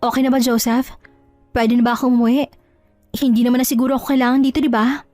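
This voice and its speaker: A woman's voice: on the high side, little pitch movement, quick pace, a little mellow, no echo, faint music behind, close to an everyday voice. A woman playing a kind but wounded wife in an audio drama, speaking in a firm, questioning, emphatic way. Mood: sincere. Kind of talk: Tagalog audio drama acting.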